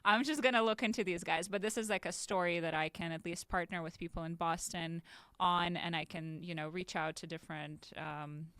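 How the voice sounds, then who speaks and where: A young woman from Ukraine talks as a podcast guest in a low, smooth and resonant voice. She chats easily and loosely, with plenty of fillers and small laughs. The recording is close and dry, with no room sound. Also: fairly even intonation, fairly quick, fairly bright tone, no room sound. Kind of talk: casual interview talk.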